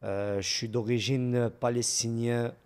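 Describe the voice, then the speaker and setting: A young man from Montreal with a Québécois French accent speaks in a casual interview. His voice sits at an ordinary mid-range pitch. He talks frankly and stresses his points with repeated words. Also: level tone, a little slow, a little mellow, clear, resonant tone. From Montreal, Canada. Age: 20s. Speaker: guest, professional boxer.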